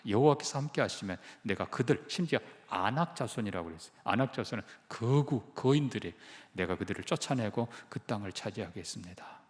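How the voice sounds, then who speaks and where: A man's voice: on the low side, darkish tone, big-room sound. A male pastor preaching a sermon, emphatic and putting questions to the congregation. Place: church sanctuary.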